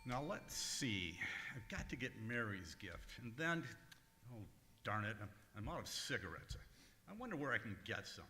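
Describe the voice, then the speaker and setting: A bright, forceful, highish voice rings through a hall as a man acts out a fussy male comedian from 1940s radio. He hems and hesitates, swings up and down in pitch, and sounds easily put out. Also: very animated, a little hiss or hum. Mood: muttering. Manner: hesitant, hemming and drawn-out delivery. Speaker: stage actor in old-time radio revue. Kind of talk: 1940s radio comedy acting before a live audience. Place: large echoey museum room, live stage. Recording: echoey hall recording of stage show.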